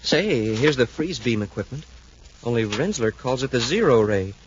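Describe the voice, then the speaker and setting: An actor playing an eager, boyish space cadet sidekick, earnest and deferential, in a 1950s radio adventure serial. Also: male voice, medium pitch, animated, normal speed, close to an everyday voice. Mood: curious. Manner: earnest, wide-eyed, deferential. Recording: dull, noisy 1950s radio recording.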